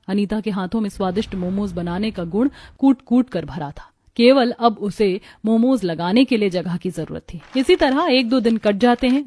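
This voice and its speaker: Mellow female story narrator. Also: medium pitch. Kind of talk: scripted cartoon story narration.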